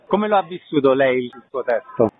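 A man hosting a radio programme, putting questions to guests and announcing events in a measured, formal way. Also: mid-range voice, lively intonation, narrow, tinny audio, a little hiss or hum. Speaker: radio interviewer and host.

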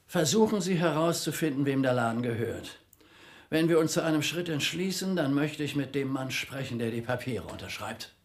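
An actor playing a decisive, wry leader of a scheming crew in a radio drama. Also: male voice, medium pitch, lively intonation, normal speed, slight background noise, lightly acted. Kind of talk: 1980s radio drama dialogue.

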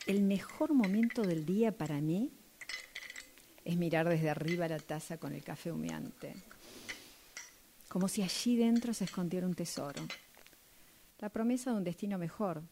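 A woman's voice: on the low side, moderate pace, pauses often, dark tone, slight background noise. A woman performing a dramatic monologue reading in a radio drama workshop.

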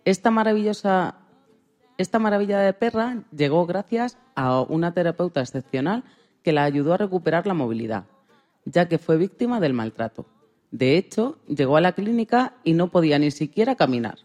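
A woman's voice: on the low side, lively intonation, moderate pace, darkish tone. A woman presenting a radio segment in a storytelling style, narrating for listeners of all ages.

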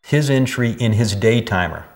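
A man speaking in a halting, deliberate, reflective interview. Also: mid-range voice, lively intonation.

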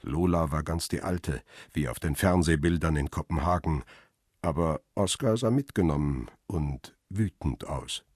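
A man's voice: a bass voice, measured pace, a little mellow, breathy edge, no echo, clean studio sound, heavily acted. An actor playing a world-weary middle-aged photographer, telling his own story soberly and laconically in a radio crime drama. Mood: tense, watchful. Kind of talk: first-person radio crime drama narration.

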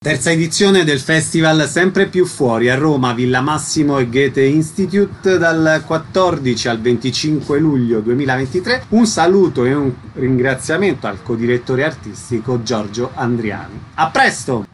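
A man's voice: medium pitch, lively intonation, moderate pace, hardly any pauses, slight background noise. A man presenting on radio as host, reading announcements and asking short questions.

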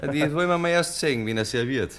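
A man's voice: on the high side, quick pace, a bit bright, close to an everyday voice. A man playing a sneering, bullying tramp in a radio drama, full of mockery. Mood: scornful. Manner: mocking, sneering. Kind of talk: German radio drama acting.

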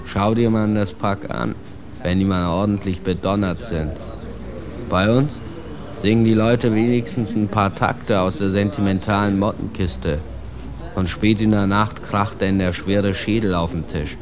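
Actor as low, scornful, proud immigrant ranter. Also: male voice, fairly even intonation, unhurried, crowd noise, lightly acted. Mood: bitter, scornful. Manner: contemptuous, declamatory slang tirade. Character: proud, scornful immigrant man ranting with bitter contempt. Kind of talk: radio drama monologue.

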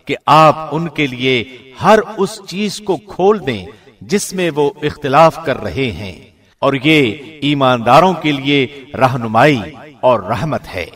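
A man giving a formal, measured and solemn scripted reading of a scripture translation, as a radio presenter would. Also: medium pitch, expressive, a bit bright.

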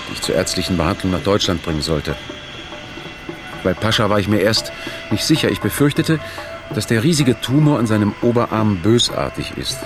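An actor playing a sober, caring aid worker, narrating reflectively in a documentary-style radio play. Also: male voice, fairly low pitch. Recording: clear music under the voice.

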